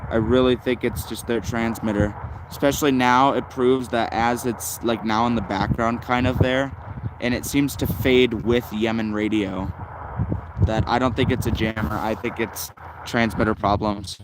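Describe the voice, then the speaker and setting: A man's voice: mid-range voice, steady tone, normal speed, noisy background. A man giving casual, unscripted hobbyist commentary as a livestream host, rambling and thinking aloud. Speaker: shortwave radio livestream host.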